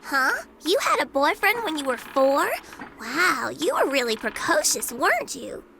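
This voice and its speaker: A woman's voice: high-pitched, sing-song, unhurried, gravelly, pushed and bright, slight background noise, heavily acted. An actress voicing a bratty, boastful little girl in a game cutscene, bossy and teasing. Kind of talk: video game cutscene dubbing.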